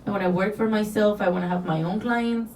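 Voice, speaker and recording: A woman's voice: mid-range voice, fairly even intonation, fast, a loud crowd. A woman from Mexico with a Mexican accent, a guest telling her story in a casual interview, earnest and rambling with restarts. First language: Spanish. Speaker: guest, designer. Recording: roomy, distant, faint background noise.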